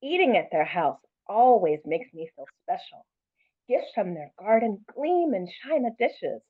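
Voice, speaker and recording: Female children's author, expressive storytelling delivery, mid-range voice, tinny band-limited recording. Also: mid-range voice, a little slow, slightly rough. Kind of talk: read-aloud storytelling and informal introduction.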